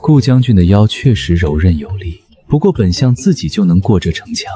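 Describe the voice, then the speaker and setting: Mellow, low, aloof chancellor played by a man. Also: sing-song, fairly quick. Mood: confident. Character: aloof, teasing male chancellor, secretly a rabbit spirit.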